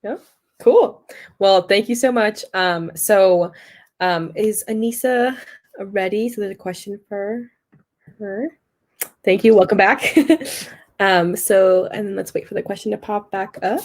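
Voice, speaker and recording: A woman's voice: mid-range voice, fairly even intonation, measured pace. An upbeat woman hosting a conference session over a video call. She keeps things casual and chatty, with easy laughter between prompts. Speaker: session moderator. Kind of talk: casual moderating.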